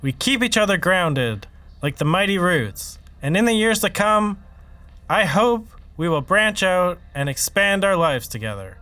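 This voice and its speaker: Bright, high-voiced earnest boyfriend. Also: male voice, very animated, unhurried, exaggerated voice. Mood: earnest, romantic. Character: earnest, sappy young man about to propose. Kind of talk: sitcom audio drama acting.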